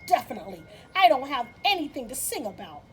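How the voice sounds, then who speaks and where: A woman's voice: sits high, very animated, fast, soft background music, voice pushed up. A woman voicing a sad, self-doubting little boy cricket in a plaintive storybook read-aloud. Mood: sad, stubborn. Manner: plaintive, protesting.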